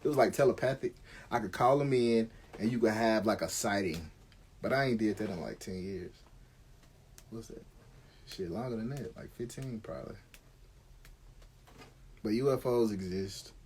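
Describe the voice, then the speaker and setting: A man's voice: lowish voice, moderate pace, long pauses, darkish tone, a little hiss or hum. A middle-aged American man telling stories casually on a live stream, slangy and conversational, swearing freely.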